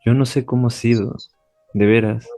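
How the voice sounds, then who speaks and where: A man's voice: mid-range voice, fairly even intonation, moderate pace, dark tone, no room sound, faint music behind, close to an everyday voice. A man playing a troubled, superstitious young husband in an amateur radio drama. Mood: evasive.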